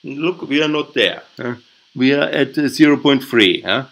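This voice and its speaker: A man's voice: mid-range voice, moderate pace, vocal fry, a bit bright. Emphatic man with an Austrian accent. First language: German. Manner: emphatic, opinionated, repeats points, tags with "yeah?". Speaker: podcast host, political commentator. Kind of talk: unscripted political commentary over slides.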